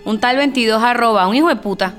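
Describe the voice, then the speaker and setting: A woman's voice: on the high side, fast, natural voice. Woman as a defiant evictee. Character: defiant, sardonic Colombian evictee in a radio play. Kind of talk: radio play acting. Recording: music running under the voice.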